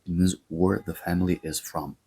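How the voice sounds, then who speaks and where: Husky low-voiced man. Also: flat delivery, warm tone. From Greece. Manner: measured, explanatory, opinionated asides. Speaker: commentator. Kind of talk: scripted commentary to camera.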